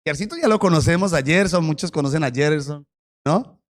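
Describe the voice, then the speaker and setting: A pastor leads a testimony interview from the church stage. His man's voice sits a little high, and he talks in a playful, joking way with the congregation. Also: fairly quick.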